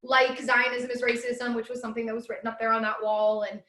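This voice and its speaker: A woman's voice: a little high, level tone, quick pace, bright, forceful tone, roomy sound. A woman in her thirties, originally from Los Angeles, giving an upbeat live webinar talk from home in a chatty storytelling way with frequent fillers. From Los Angeles, USA. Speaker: guest speaker, educator.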